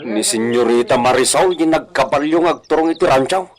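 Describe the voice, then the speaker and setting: An actor playing a loyal, urgent ranch hand in a radio drama. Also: male voice, mid-range voice, brisk, soft background music, lightly acted. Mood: worried.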